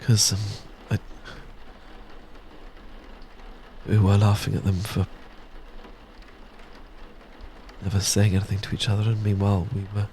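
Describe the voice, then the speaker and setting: A British man acting a nervous, smitten young man in a romantic roleplay, stammering and halting earnestly as he talks. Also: fairly low pitch, completely flat delivery, warm tone, a little hiss or hum, close to an everyday voice. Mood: sheepish. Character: nervous, smitten young man confessing to his best friend.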